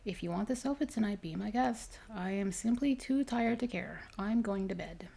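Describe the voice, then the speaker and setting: A woman's voice: medium pitch, moderate pace, creaky, dark tone, husky, voice pushed up. A woman voicing a tired, unbothered mother in her thirties in an ASMR storybook reading, easygoing and matter-of-fact. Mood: weary, resigned. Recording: faint noise behind the voice.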